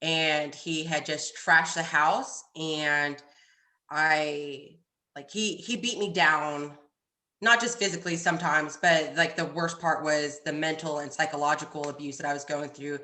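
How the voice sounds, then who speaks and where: Echoey audio, american woman podcast guest, bright voice, talking candid and casual. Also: sits low, steady tone, a little slow. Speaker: podcast guest, army staff sergeant. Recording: roomy, echoey sound.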